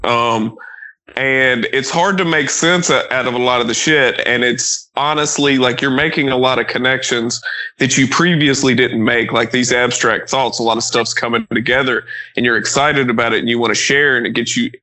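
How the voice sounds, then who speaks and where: A man's voice: mid-range voice, fairly even intonation, moderate pace, bright, forceful tone, rough recording. A man in his thirties hosting a podcast, talking casually and emphatically with frequent profanity. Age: 30s. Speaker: podcast host. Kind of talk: casual conversation.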